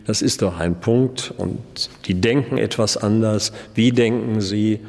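A man's voice: fairly low pitch, measured pace, vocal fry, a little mellow. A man explaining his point earnestly in an interview. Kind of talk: explanatory statement. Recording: reverberant hall.

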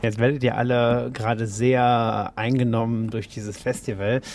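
A man working as a radio reporter, asking relaxed, curious questions. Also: medium pitch, normal speed, slight background noise. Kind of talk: reporter interview.

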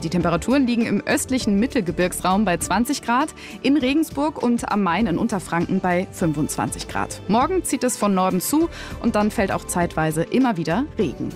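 A woman's voice: medium pitch, sing-song, brisk, hardly any pauses. A woman presenting scripted service announcements as a radio host. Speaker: radio host reading weather and traffic. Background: music bed.

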